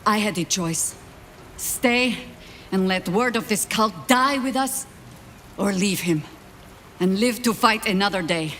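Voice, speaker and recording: A woman playing an older, world-weary warrior leader, with a measured, dry, sardonic manner in a fantasy game drama. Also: mid-range voice, fairly bright tone, a little hiss or hum. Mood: grave. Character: wry, world-weary old female warrior, pragmatic leader.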